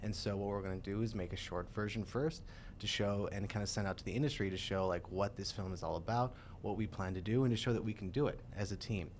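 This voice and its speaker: A young man in his twenties talks fast in a very low voice, eager to explain. A faint rumble sits under the recording. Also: steady tone, darkish tone. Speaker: guest filmmaker. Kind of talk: interview answers.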